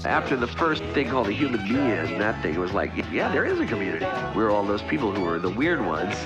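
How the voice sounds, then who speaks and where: A man's voice: on the low side, sing-song, quick pace. A man casually reminiscing in an interview, quoting thoughts as he goes. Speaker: documentary interviewee. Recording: dull sound under loud guitar music.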